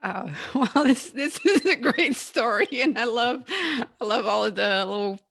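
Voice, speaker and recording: A woman's voice: on the high side, animated, unhurried, gravelly, fairly bright tone, breathy edge, no echo, clean studio sound. A woman hosting a podcast interview in a casual, chatty style. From Canada. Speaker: podcast host.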